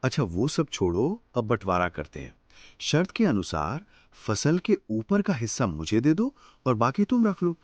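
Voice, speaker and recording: A man's voice: mid-range voice, very animated, unhurried, no room sound. A man voicing a greedy, cunning village moneylender in a cartoon story, with a smug and sly way of talking. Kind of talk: Hindi cartoon story voice acting.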